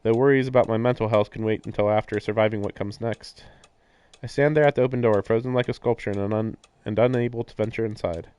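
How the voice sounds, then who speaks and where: A man's voice: on the low side, fairly even intonation, quick pace, clean tone, highs cut off. A man reading a visual novel's narration aloud on a stream, breaking into casual commentary.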